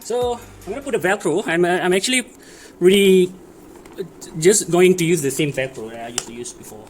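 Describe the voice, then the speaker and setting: A man giving a casual hands-on how-to, speaking in a hesitant, improvised way with searching pauses. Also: high voice, faint music behind. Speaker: DIY tutorial presenter. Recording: clear background noise, ambient sound.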